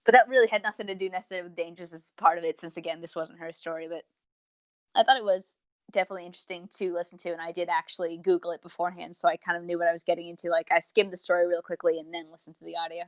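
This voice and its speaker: Female podcast reviewer, slightly low voice, effusive and tangent-prone, unscripted chat on a dry, muffled recording. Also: fairly even intonation, racing, dry recording. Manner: chatty, effusive, rambling with tangents. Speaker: podcast co-host reviewing audio dramas.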